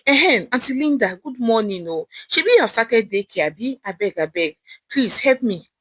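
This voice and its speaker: A woman's voice: on the high side, expressive, normal speed, a bit bright, no room sound, studio quality. A woman storyteller voicing a harried working mother with a Nigerian accent, pleading and chattering in a hurry. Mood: hurried, pleading.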